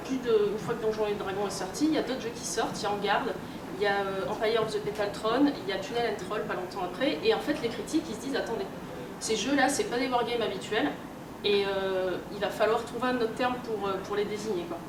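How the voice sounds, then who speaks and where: A woman lectures in a roomy, echoing space, picked up a distance over clear background noise. Her delivery is colloquial and explanatory. She hesitates often and rephrases as she goes. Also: medium pitch, fast. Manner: hesitant, colloquial, self-correcting. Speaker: conference speaker, researcher and editor. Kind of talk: informal explanatory lecture with examples. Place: live talk in a room at a convention. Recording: roomy, distant, noisy live conference recording.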